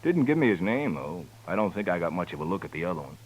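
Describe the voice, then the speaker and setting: A man's voice: sits low, very animated, quick pace, slightly rough, muffled recording, heavily acted. A man playing a talkative, folksy American livery stable keeper in a 1950s radio western, speaking hesitantly. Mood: folksy, obliging. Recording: noisy old radio recording.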